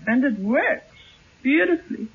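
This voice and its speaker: A woman tells of trying a washing powder in an old radio ad, earnest and a little hesitant. Her voice is gravelly and husky, and comes through thin and tinny. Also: high-pitched, lively intonation, unhurried. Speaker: testimonial speaker in a radio ad. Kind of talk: vintage radio commercial testimonial.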